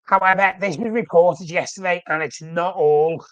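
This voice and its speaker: A man's voice: high-pitched, fairly even intonation, moderate pace, no echo, dull audio. A man with a flu, sounding snuffly, hosting a casual live-stream chat about his football club and rambling with frequent self-corrections. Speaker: fan channel host.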